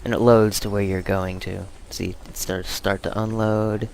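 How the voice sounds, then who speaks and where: A man's voice: fairly low pitch, little pitch movement, measured pace, gravelly, a little mellow, slight background noise. A man giving casual, meandering video game commentary with playful asides. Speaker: gaming channel host.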